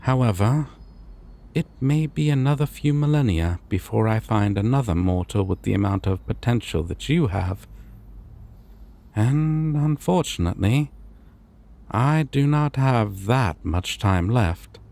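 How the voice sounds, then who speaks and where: A man playing a courteous, solemn god of dreams in a fantasy audio roleplay, with formal, deliberate phrasing. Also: medium pitch, lively intonation, vocal fry, dark tone. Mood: calm, formal.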